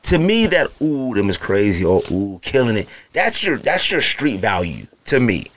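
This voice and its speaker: A man in his thirties with a naturally deep voice, hosting a podcast in loose, slangy casual chat full of fillers and asides to his listeners. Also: wildly swooping pitch, moderate pace, fairly bright tone, no echo. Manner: feels hot and uncomfortable while recording. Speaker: podcast host. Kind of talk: casual solo podcast rambling.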